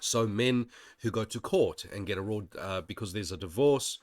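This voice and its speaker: A man's voice: fairly low pitch, moderate pace, no room sound. A man hosting his channel, talking in a rambling, digressive way with many restarts, sounding slightly nasal while getting over an illness. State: occasional coughing. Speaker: channel host. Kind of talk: casual commentary monologue.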